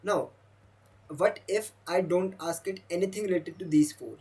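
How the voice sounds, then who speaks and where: A young man, a Hindi speaker, walking through a demo of his own software while thinking aloud. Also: sits high, normal speed, husky. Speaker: software developer demoing his app. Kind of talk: screen-recorded product demo.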